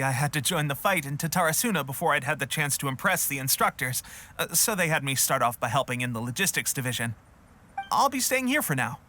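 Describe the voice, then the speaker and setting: A man's voice: fairly high pitch, sharp tone, slight background noise. A man voicing an eager, friendly young resistance soldier in a video game, chatty and earnest. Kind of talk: video game character acting.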